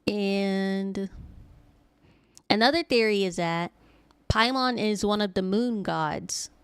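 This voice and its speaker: A woman chats casually as she streams a video game. Her medium-pitched voice is clean and smooth, recorded close in a very dry space. She rambles informally and jokes as she goes. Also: takes it slowly, no echo. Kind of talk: casual chatty livestream commentary.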